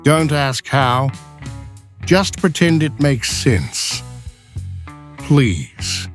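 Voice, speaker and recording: A performer's very slow, pleading inventor aside. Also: mid-range voice, very animated, faint music behind.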